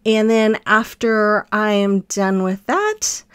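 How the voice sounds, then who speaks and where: A woman host casually narrating her craft project in a relaxed, chatty voice-over with frequent ums. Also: medium pitch, takes it slowly, smooth, no echo, clean studio sound.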